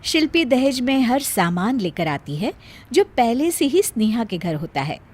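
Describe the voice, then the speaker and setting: Expressive high-voiced woman storyteller. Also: normal speed, husky. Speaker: story narrator. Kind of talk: scripted Hindi moral-story narration.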